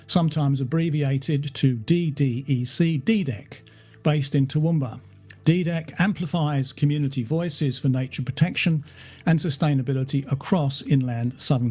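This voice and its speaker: A man hosting a podcast, reading a formal, measured scripted introduction. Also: fairly high pitch, mellow. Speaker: podcast host.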